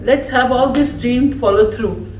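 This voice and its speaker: A woman whose first language is Urdu giving a slow, heartfelt tribute speech at a farewell gathering. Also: fairly high pitch. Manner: slow, warm, emphatic, laughing between phrases. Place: office gathering room. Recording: echoey room from far off, faint noise.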